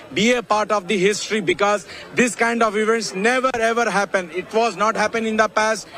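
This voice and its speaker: Man, Indian accent, fairly high pitch; earnest emphatic attendee interviewed outdoors. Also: fairly bright tone. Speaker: event attendee being interviewed.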